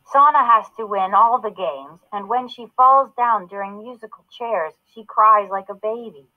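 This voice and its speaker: A woman reads a children's story aloud as narrator. Her voice sits at a medium pitch, and the recording is narrow, like a telephone line. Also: normal speed. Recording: narrow phone-like sound.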